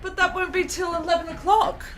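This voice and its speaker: An actress playing a frail, needy dying woman in a period radio drama, halting and beseeching in her delivery. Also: extremely high pitch, level tone, fairly bright tone, faint music behind. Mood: reluctant.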